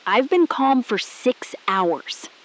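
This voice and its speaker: Woman playing a high-voiced, deliberate, defiant astronaut. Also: very animated. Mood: icy calm. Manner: deliberate, measured, clipped declarative sentences. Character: calm, resolute woman astronaut-scientist, defiant and precise. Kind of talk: scripted audio drama acting.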